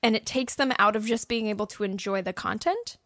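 A woman's voice: medium pitch, brisk, fairly bright tone, no echo, clean studio sound. A woman co-hosting a casual podcast, giving advice in an emphatic way and acting out examples as she goes. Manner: emphatic, acts out imagined thoughts and quotes. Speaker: podcast host and audio drama producer. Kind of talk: casual behind-the-scenes advice chat with a co-host.

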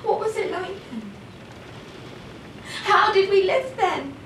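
A woman's voice: very high voice, very animated, slow, fairly bright tone, full character voice. A woman playing a despairing, worn-out character in an audio drama, anguished, with repetitive, breaking phrases. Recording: echoey, distant room with faint noise.

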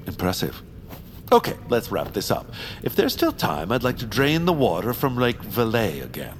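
Casting: a smug, heartless wealthy baron in family audio fiction, lowish voice, hugely animated, grandly theatrical. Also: male voice, normal speed, a little hiss or hum. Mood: smug, dismissive. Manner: pompous, theatrical, self-satisfied. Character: pompous, callous rich baron villain with a mustache.

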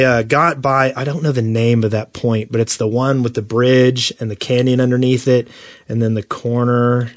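A man co-hosting a casual gaming podcast, telling stories in an animated way. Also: medium pitch, dry recording, clean studio sound. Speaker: podcast co-host.

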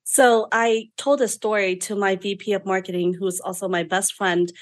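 A woman's voice: medium pitch, fairly even intonation, normal speed. A woman in her thirties from Vietnam, speaking English with a Vietnamese accent, candid and chatty as an interview guest, with fillers and restarts. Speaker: guest, lash business owner. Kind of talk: casual interview storytelling.

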